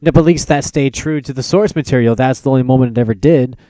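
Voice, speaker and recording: A man's voice: mid-range voice, fast, mellow, dry recording. A man hosting a podcast, bantering casually about films in a teasing, opinionated way. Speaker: podcast host.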